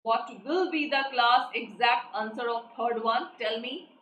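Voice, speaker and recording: A woman's voice: a little high, a little slow, a bit bright. A woman with an Indian English accent teaching a live online exam class, repeating questions and prompting students for answers. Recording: echoey room with faint noise.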